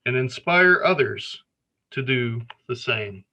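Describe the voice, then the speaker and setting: A man reading a gentle children's story aloud with deliberate phrasing. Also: mid-range voice, lively intonation. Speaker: storyteller at a worship service.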